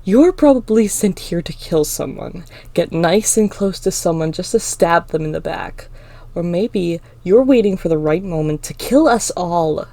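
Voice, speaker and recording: A woman's voice: lowish voice, lively intonation, unhurried, dark tone, no room sound. A woman voicing a cruel, hateful male student in a fantasy story, taunting and sneering. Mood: accusing. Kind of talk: dramatic fantasy audiobook acting.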